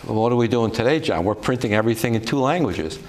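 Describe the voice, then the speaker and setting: A man's voice: medium pitch, lively intonation, fast talker. An older man on a political talk show, speaking in an opinionated, conversational way. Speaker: show co-producer and guest.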